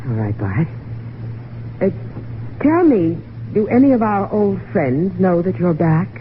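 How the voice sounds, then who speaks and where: An actress playing a flustered, evasive wife in an old-time radio mystery, halting and stammering as she pleads. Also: lowish voice, sing-song. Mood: anxious, coaxing. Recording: tinny, noisy vintage radio recording.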